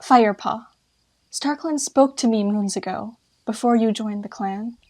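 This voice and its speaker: A female medicine cat, played by a woman, grave and caring, with a mystical unease. The voice is breathy and a little high, in the style of a storybook audio drama. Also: unhurried, darkish tone. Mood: urgent, prophetic. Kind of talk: acted fan audiobook dub.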